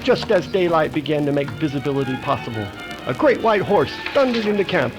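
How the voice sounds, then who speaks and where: A male narrator in the dramatic style of 1930s radio adventure. His voice is a little high and the scripted delivery rides over clearly audible music. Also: expressive, a little fast.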